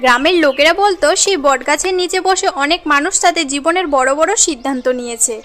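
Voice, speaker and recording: A woman tells a cartoon tale in scripted, dramatic narration. Her voice is notably high, with faint music playing underneath. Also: quick pace, a bit bright. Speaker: story narrator.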